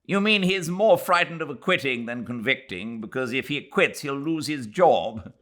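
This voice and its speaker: A man's voice: medium pitch, expressive, moderate pace, a bit bright, pitched above the performer's own voice, close to an everyday voice. A man doing a smug, clever English official. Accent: British.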